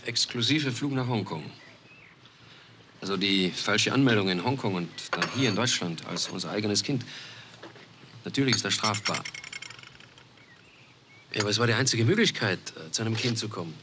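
A man's voice: lowish voice, normal speed, pauses often, natural voice. A man playing a resigned, bitter adoptive father. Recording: noticeable background noise.

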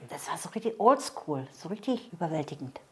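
A woman's voice: low voice, very animated, brisk, warm tone. A woman in her sixties, interviewed for TV with her partner, who talks cheerfully and laughs often. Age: 60s.

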